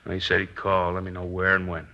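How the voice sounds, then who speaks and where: A surly fence in a radio crime drama. He is wary and grudging, in a low, piercingly bright, tense voice. The vintage recording sounds muffled. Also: completely flat delivery, fast talker. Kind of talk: old-time radio crime drama acting.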